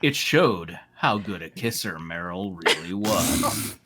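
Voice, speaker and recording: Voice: low-pitched, lively intonation, slow pace, heavy background noise. A person reading a story aloud to friends in a mock-dramatic way, breaking off into amused asides. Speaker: narrator reading fan fiction aloud. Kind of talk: comedic group read-aloud, often breaking into laughter.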